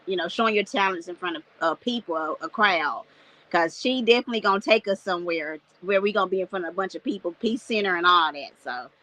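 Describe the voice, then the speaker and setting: A woman in her thirties from North Carolina with a squeaky voice, chatting casually as an interview guest, laughing often and using lots of fillers. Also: quick pace, bright, forceful tone, low-quality recording. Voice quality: self-described squeaky voice. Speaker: guest artist.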